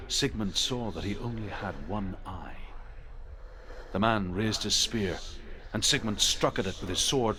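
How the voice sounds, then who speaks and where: An actor playing a grave, deliberate storyteller who recounts Norse legends in a solemn scripted narration. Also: male voice, lowish voice. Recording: game audio under clear background noise.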